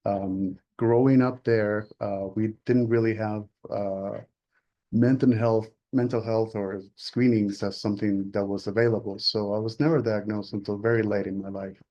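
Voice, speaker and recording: Panelist: man, low mellow voice, easygoing and self-deprecating. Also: normal speed, highs cut off. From Venezuela. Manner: relaxed, self-deprecating storytelling. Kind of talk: casual panel introduction.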